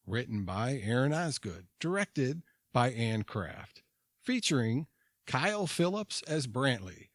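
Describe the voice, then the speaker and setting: A man's voice: medium pitch, no room sound. A man hosting an audio theatre programme, reading a scripted outro and credits. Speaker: host.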